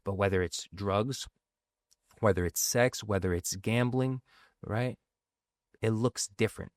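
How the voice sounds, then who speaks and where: A man's voice: lowish voice, unhurried, dry recording, studio quality. A man from a Sicilian family, Sicilian his first language, talking earnestly about recovery in a casual conversation and often tagging phrases with "right?". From grew up in a Sicilian family, bilingual. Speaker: guest speaking on addiction recovery.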